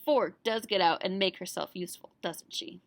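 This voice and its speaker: A woman voicing a caring mother spoon in a children's storybook, gentle, soothing and encouraging. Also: on the low side, normal speed, gravelly, dry recording. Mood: sympathetic.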